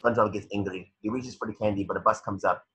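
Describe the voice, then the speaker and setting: A man's voice: lowish voice, fairly even intonation, fast talker, bright, forceful tone, slightly rough, poor audio. A man narrating a cartoon script's stage directions in a matter-of-fact way, sometimes stumbling over the words. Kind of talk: reading a cartoon script aloud.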